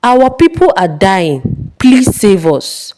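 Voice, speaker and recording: A woman's voice: mid-range voice, very animated, takes it slowly, dark tone. Woman voicing an earnest, humble warrior. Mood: pleading. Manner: earnest, deferential. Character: giant loyal warrior, humbly pleading.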